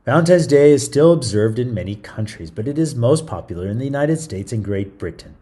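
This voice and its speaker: A man's voice: mid-range voice, expressive, a little fast, dark tone, breathy edge. A man reading a story aloud to children in a theatrical, playful style, with chatty asides. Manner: theatrical, playful, interjecting comments to young listeners.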